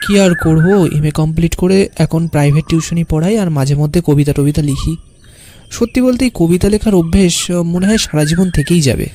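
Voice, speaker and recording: A man playing a carefree, stubborn young city poet who tells his own tale in an animated horror story. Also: fairly high pitch, moderate pace, slight background noise, lightly acted. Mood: relaxed. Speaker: narrator and lead character. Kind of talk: first-person story narration and cartoon dialogue.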